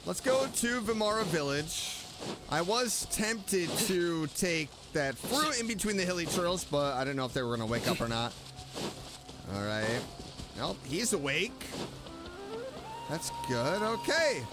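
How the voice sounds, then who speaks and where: Casting: female VTuber reacting casually to a game, unhurried, very varied intonation, music underneath. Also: lowish voice, very animated. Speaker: streamer, VTuber. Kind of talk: casual gameplay commentary.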